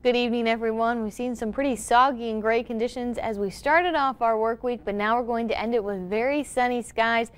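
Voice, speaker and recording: An American woman delivers a weather forecast. Her voice is crisp and resonant, pitched somewhat high. She presents as a television meteorologist. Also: steady tone, brisk, hardly any pauses.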